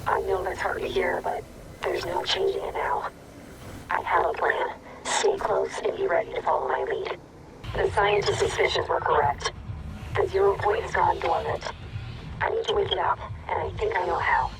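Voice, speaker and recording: A woman's voice: fairly low pitch, sing-song, normal speed. A woman voicing a grave, determined commander in a video game, speaking solemnly and urgently. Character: grave, determined commander sending cryptic warnings. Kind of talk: video game character dialogue. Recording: very noisy radio-like transmission.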